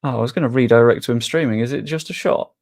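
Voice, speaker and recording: English guy, young, relaxed streamer chatter, slangy and casual, gravelly edge to the voice. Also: medium pitch, fast. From England. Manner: chatty and easygoing, with frequent fillers and British slang. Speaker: live streamer. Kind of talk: casual live stream chat, reading and answering viewer questions.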